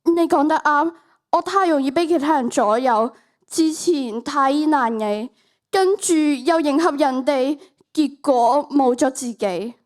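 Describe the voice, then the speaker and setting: A woman's voice: high voice, a little slow. A teenage girl with a Hong Kong Cantonese accent playing an insecure, lovesick, eager-to-please young woman in a student radio drama. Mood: earnest.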